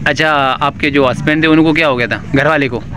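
A man's voice: fairly high pitch, fast, noisy background. Casual vlogger guy outdoors, phone mic, pleading tone, calls out to viewers a lot. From Pakistan. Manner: repetitive, pleading, keeps calling out "guys" and "friends". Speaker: village vlogger. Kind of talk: walking tour vlog with interviews. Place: outdoors in a village among huts. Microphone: handheld phone camera.

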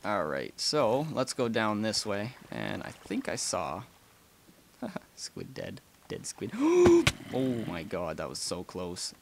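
Young man, gaming commentary, rambling, lots of ums, low-ish voice, noisy home mic with clicks. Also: wildly swooping pitch, slow pace, gravelly. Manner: rambling and chatty, many ums, gasps and laughs while playing. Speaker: gaming channel host. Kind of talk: casual let's play commentary.

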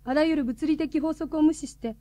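High, soft-toned serious woman played by an actress. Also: dry recording, close to an everyday voice. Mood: serious inquiry. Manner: formal, measured, polite. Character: serious, courteous woman questioning a schoolboy. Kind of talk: radio drama acting.

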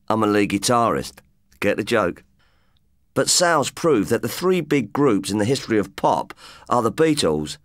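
Cocky English rocker with animated delivery played by a man. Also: mid-range voice, no room sound, studio quality, lightly acted. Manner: cocky, matey, colloquial. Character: boastful, chatty rock lead guitarist telling his tale. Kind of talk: first-person audiobook storytelling in colloquial slang.

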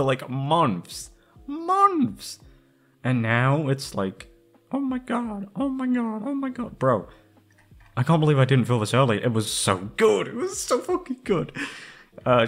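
A young man, a voice actor, chatting casually to listeners in an effusive, sweary, slangy way. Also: medium pitch. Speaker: voice actor, channel host. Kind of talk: casual outro chat.

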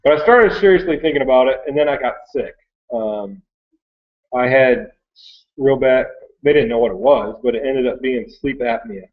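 Low-voiced American man, phone-like sound. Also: normal speed. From Michigan, United States. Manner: rambling, conversational. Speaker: online reseller sharing his story. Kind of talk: casual unscripted storytelling. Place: small cluttered workroom indoors. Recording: thin phone-like webcam audio.

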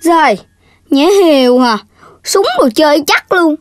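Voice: sits high, lively intonation, measured pace, a little hiss or hum. An actor voicing a greedy, cheeky little boy piglet with a blunt, teasing manner in a children's cartoon. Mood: blunt, cocky. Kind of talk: children's cartoon dubbing in Vietnamese.

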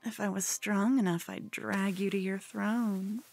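A woman's voice: medium pitch, steady tone, measured pace, a little mellow, faint music behind. A woman playing a cute, obsessed, scheming yandere con woman in an ASMR roleplay, coy and cooing, often slipping into whispers. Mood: cooing, gloating.

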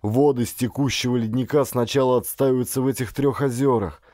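A man voicing an excitable middle-aged explorer father and scientist in an audiobook, exclaiming with rapturous emphasis. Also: medium pitch, a little fast, no room sound, studio quality, voice pushed up, close to an everyday voice. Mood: elated, excited. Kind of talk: audiobook character acting.

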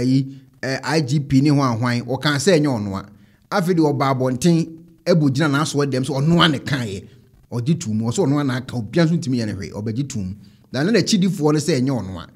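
A man's voice: on the high side, fairly quick. A man in his thirties with a Ghanaian accent, hosting a casual reaction show and talking to camera. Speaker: online reaction show host. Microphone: close desk microphone.

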